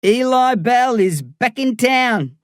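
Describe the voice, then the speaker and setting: A man's voice: very high voice, animated, slow, no room sound, clean studio sound, voice pushed up, full character voice. A man voicing a volatile young drug boss who swaggers, taunts and swears, as a character in an audiobook. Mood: friendly.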